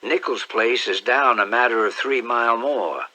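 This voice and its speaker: A man voicing an old country gentleman with a slow, deliberate drawl. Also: medium pitch, rough recording.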